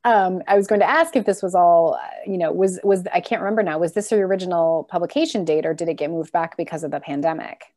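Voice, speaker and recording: Low, mellow woman moderating. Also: fast talker, few pauses. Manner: reads questions out plainly, then chats casually. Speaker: moderator reading audience questions. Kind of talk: virtual book event conversation. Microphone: video call.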